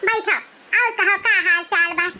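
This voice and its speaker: A woman's voice: very high voice, steady tone, normal speed, bright, forceful tone, exaggerated voice. A woman voicing a chatty, gossipy older village aunt in a cartoon, with an Awadhi accent. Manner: friendly, gossipy.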